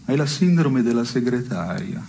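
An actor playing a provocative, ironic man in an absurdist radio play, musing in a wry, deadpan manner. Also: mid-range voice, moderate pace, slight background noise. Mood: wry, provoking. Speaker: stage actor. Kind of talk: theatrical dialogue in a radio play.

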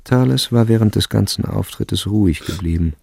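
A man's voice: fairly low pitch, moderate pace, vocal fry, a little mellow, slightly rough, no room sound. A man narrating a literary story as part of a radio play.

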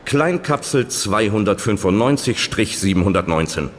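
An actor playing a brusque, mocking, comradely reception clerk in a radio play, recorded with long echo. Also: male voice, lowish voice, animated, moderate pace, natural voice. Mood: brusque, businesslike. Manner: brusque, flippant. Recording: echoing hall, faint background noise.

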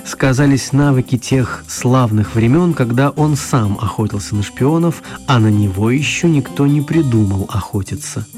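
A man narrating a children's radio play as an expressive, mock-serious storyteller. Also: medium pitch, moderate pace, few pauses, soft background music.

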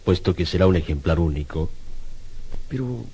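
A tormented, brooding artist and husband in an old-style radio suspense play. His very low voice is husky, and he speaks gravely, with theatrical, hesitant pauses. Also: animated, warm tone, heavily acted. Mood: intense, brooding. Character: troubled Spanish sculptor, brooding husband tormented by a commission.

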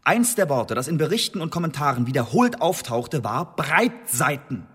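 An actor playing an anxious, eager Elizabethan secret agent in a radio drama, halting and self-justifying. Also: male voice, fairly high pitch, very animated, a bit bright, slightly rough, full character voice. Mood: eager, insistent. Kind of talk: German radio drama acting.

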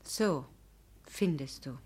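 A woman's voice: extremely low pitch, lively intonation, slow pace, a little mellow, husky, exaggerated voice. An actress playing a proud, frank young woman in a radio drama, direct and emphatic, with diction. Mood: grave, insistent. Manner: direct, emphatic, pleading or drily pointed. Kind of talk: German radio drama acting.

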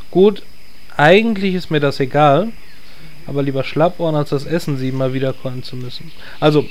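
A man's voice: fairly high pitch, natural voice. A man playing a sardonic, exasperated guild leader in a parody audio drama, formal and long-winded. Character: dry, exasperated male guild leader, transformed druid.

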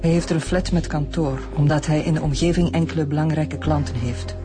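Woman playing middle-aged Flemish wife, low female register, formal, firm, anxious and insistent, radio drama, clear music. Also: fairly even intonation, brisk, natural voice. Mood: defensive. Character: mature married woman, anxious, indignant, insistent. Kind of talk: naturalistic radio drama acting.

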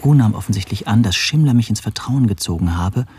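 Husky, guarded, polite man. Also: on the low side, animated, a little fast, mellow. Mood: sober narrating. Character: guarded, evasive Hungarian émigré, polite narrator-protagonist. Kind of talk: radio play dialogue and first-person narration.

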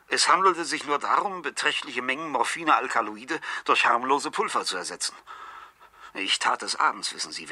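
An actor playing an anxious, polite, ageing chemist and fearful accomplice in a 1970s German radio play. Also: male voice, mid-range voice, bright, forceful tone, slightly rough, no room sound, heavily acted. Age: older. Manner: anxious, formal, deferential.

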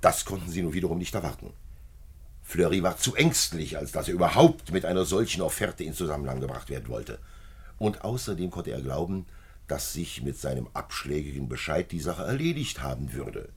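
An actor playing a calm, shrewd police commissioner in a 1950s German-language radio play, measured, patient and matter-of-fact. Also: male voice, exaggerated voice. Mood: calm, explaining. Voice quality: gravelly, husky low voice.

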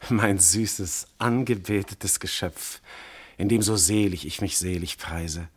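An actor playing an amorous, commanding god disguised as a husband, speaking classical verse solemnly and intensely in a radio drama. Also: male voice, sits low, slow pace, husky, heavily acted. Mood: tender, soothing.

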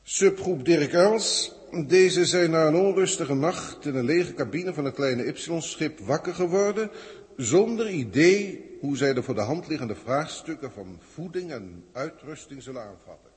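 An actor playing a pompous, pedantic alien senior scientist with stilted formal diction in a 1960s science-fiction radio play. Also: male voice, fairly high pitch, expressive, normal speed, natural voice. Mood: formal reporting. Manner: stilted, elevated, officialese diction. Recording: 1960s radio recording, long cavernous reverb.

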